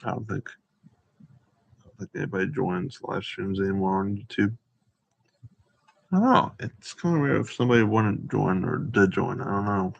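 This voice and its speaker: A man's voice: lowish voice, normal speed, gravelly, pauses often. A man hosting a casual, unscripted livestream, talking in a hesitant, rambling and repetitive way. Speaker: live stream host. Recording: dull, roomy livestream audio.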